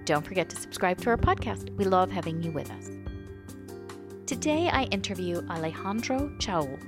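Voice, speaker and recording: A woman's voice: lowish voice, lively intonation, normal speed, over music. A woman hosting a podcast, introducing it and interviewing.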